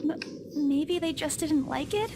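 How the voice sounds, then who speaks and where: Uneasy young woman from a horror game, high slow voice, tentative, noisy behind her. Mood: hesitant. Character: uneasy young female real estate agent. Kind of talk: visual novel voice acting.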